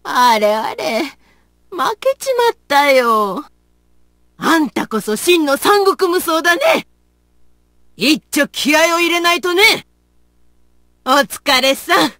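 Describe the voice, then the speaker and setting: A woman's voice: high-pitched, sing-song, slow, pauses often, fairly bright tone, no echo, studio quality, exaggerated voice. A woman voicing a plucky, folksy big-sisterly warrior in a video game, with tough motherly phrasing. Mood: easygoing, rueful, then spirited. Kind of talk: video game battle voice lines.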